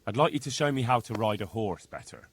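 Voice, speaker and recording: A man's voice: fairly low pitch, lively intonation, fast, husky. A man playing an earnest, polite young medieval horseman in a video game cutscene.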